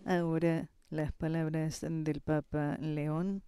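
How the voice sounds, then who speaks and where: A man's voice: fairly high pitch, level tone, slow, dark tone, clean tone, no echo. A man giving live event commentary as a broadcast commentator. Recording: crowd and noise behind commentary. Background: event crowd ambience.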